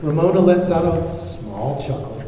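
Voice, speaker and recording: Mellow male narrator in a very echoey hall. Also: on the high side, animated, a little slow. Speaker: narrator reading stage directions. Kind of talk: scripted screenplay narration at table read. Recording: very echoey hall, far mic, faint noise.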